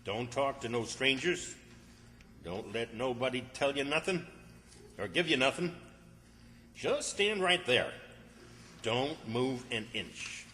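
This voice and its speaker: A man's voice: mid-range voice, lively intonation, slow pace, pauses often, sharp tone. A man acting a bossy, exasperated country fellow, scolding and commanding in an old-time radio comedy. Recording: hall reverb, distant stage sound.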